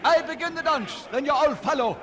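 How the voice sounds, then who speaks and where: A woman's voice: sits high, animated, a little fast, heavy background noise. A woman playing a bossy, mischievous Scottish commoner leading a street protest in a radio drama. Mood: excited, scheming. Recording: loud outdoor crowd behind.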